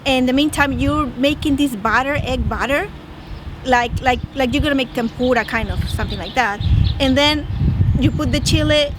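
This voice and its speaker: A woman's voice: sits high, normal speed. A woman from northern Mexico with a Mexican Spanish accent, chatting and explaining at length in a casual street interview. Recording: outdoor street noise.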